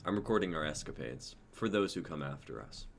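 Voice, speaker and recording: A pompous young techie stranded after a crash, played by a man in an amateur sci-fi reading. The voice is very low, and the delivery is self-important and pessimistic. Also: lively intonation, a little fast, gravelly, slight background noise, full character voice. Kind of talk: amateur dramatic table reading.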